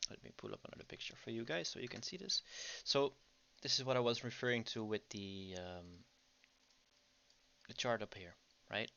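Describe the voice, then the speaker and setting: A man's voice: mid-range voice, normal speed, vocal fry, bright, forceful tone. A man with a Dutch accent, casually teaching trading on a livestream and chatting with viewers, often checking in with "right?". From Netherlands. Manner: chatty, frequent ums and "right?" tags. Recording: dull lo-fi stream audio.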